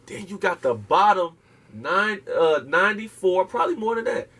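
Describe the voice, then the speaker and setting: A man's voice: high-pitched, lively intonation, normal speed, a bit bright. A man speaking, lecturing emphatically and profanely as an interview guest, firing off rhetorical questions. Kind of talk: impassioned casual interview talk.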